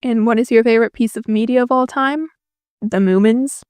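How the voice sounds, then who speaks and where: A woman's voice: on the high side, a little fast, a little mellow, clear, resonant tone, no echo. A young woman from Finland, a native Finnish speaker, answering viewer questions in a casual voice-over with dry, self-deprecating jokes. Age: young adult. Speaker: YouTuber.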